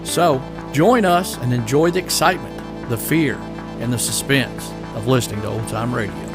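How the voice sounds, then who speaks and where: A man's voice: medium pitch, moderate pace. A man reading a scripted podcast intro and promo as the host, with guitar behind him.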